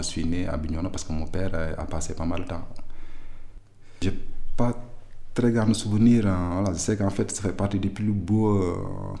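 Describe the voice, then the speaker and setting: A man's voice: low voice, vocal fry, mellow. A man from Senegal with a Senegalese French accent, recalling his past in an interview, hesitant and full of fillers and restarts. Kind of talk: personal recollection.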